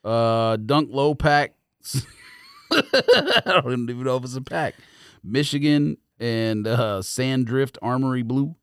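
A man's voice: medium pitch, big pitch swings, takes it slowly, a bit bright, clean tone, no room sound, clean studio sound. An American man co-hosting a podcast, chatting casually with teasing, slangy banter. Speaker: sneaker podcast co-host.

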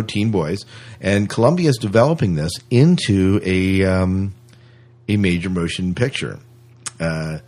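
A man with a very deep, husky voice hosts a casual podcast. He talks through the news in a conversational manner, rambling a little and hesitating as he goes. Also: a little mellow. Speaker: podcast co-host.

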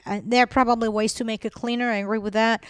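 Earnest woman with a smooth, clear voice. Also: on the high side, flat delivery, fast talker, no room sound. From Colombia, raised in Venezuela. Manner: earnest and persuasive, with fillers. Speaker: podcast guest, nonprofit founder. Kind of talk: conversational interview.

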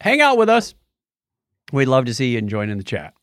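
A man hosts a casual podcast with very animated, swinging intonation. He is playful as he talks through news items. The sound is dry and free of room echo. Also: medium pitch, brisk. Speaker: podcast host. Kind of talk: casual news banter on a live-streamed podcast.

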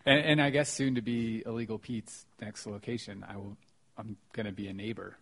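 A man's voice: lowish voice, steady tone, brisk, gravelly, a bit bright. A man with an American accent, a writer, chatting casually as a guest on a podcast. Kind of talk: casual chat, being interviewed.

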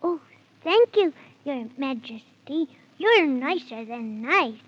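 An actor playing a polite, frightened six-year-old boy in a 1930s children's radio drama, with breathy exclamations. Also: sits high, very animated, slow pace, heavily acted. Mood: awed, polite. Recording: dull 1930s radio recording, music behind.

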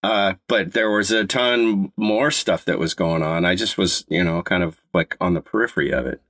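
A man in his fifties from Colorado, co-hosting a podcast in casual chat, talking with a slight stutter and halting restarts. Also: deep voice, fairly bright tone, dry recording, studio quality. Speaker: podcast co-host.

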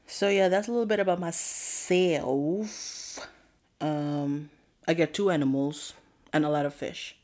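A woman with a notably low voice chats on a podcast. She is relaxed and easygoing, speaking without hurry. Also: unhurried. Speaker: podcast co-host. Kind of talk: casual chat.

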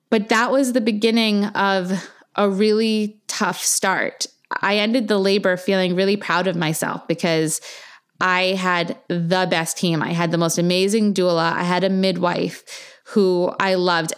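A woman's voice: fairly low pitch, clean tone. Earnest woman telling her birth story. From Argentina. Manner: earnest, reflective, candid. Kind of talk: personal storytelling monologue.

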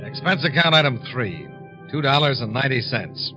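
A man's voice: mid-range voice, expressive, normal speed, over music, lightly acted, poor audio. An actor playing a cool, probing male investigator with a clipped, dry delivery in a 1950s radio detective drama. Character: cool, probing male insurance investigator narrating his case.

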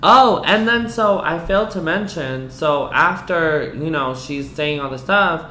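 A woman from the US East Coast telling a personal story to a host, candid and animated, filling her talk with "like" and some swearing. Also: sits low, a little slow, a little hiss or hum. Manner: frank, animated, peppered with "like" and swearing. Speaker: guest. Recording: roomy echo.

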